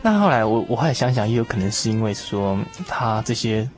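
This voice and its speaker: A man's voice: fairly low pitch, expressive, brisk, faint music behind. A man hosting a conversational discussion of faith in a reflective, explanatory way. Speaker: radio talk show host.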